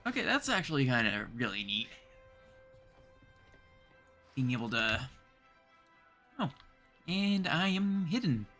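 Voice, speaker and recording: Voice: mid-range voice, big pitch swings, slow, long pauses, pushed and bright, soft background music. A streamer from Canada giving casual live video game commentary, chatting and thinking aloud in short remarks.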